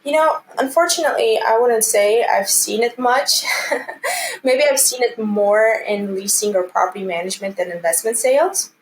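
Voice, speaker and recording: A woman's voice: on the high side, expressive, normal speed. Earnest woman in a noisy interview recording. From Armenia. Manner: earnest, encouraging. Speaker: interview guest, commercial real estate team lead. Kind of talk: conversational interview answers.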